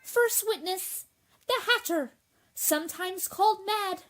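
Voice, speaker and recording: A woman's voice: very high-pitched, slow pace, fairly bright tone, soft background music, full character voice. A woman playing an officious, proper royal herald in a radio play, formal and declaiming. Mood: proclaiming.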